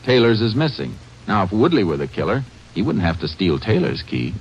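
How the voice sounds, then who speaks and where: An actor playing a calm, shrewd Texas Ranger who narrates his case in terse, matter-of-fact old-time radio style. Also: fairly low pitch, fairly quick, a little hiss or hum. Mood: intent, deducing. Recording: dull vintage radio recording.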